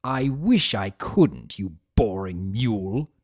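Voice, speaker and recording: Man as pompous, bitter male chaos spirit in a dramatic story reading; sardonic, widely swooping pitch, unhurried. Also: very animated, darkish tone, no echo, clean studio sound, full character voice. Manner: theatrical, sardonic, seething.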